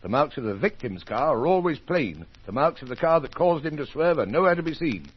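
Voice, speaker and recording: An actor playing a brisk, authoritative police inspector in an old-time radio mystery, speaking in a clipped, commanding way with a husky voice. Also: male voice, a little high, expressive. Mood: intent, persuasive. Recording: dull old radio recording.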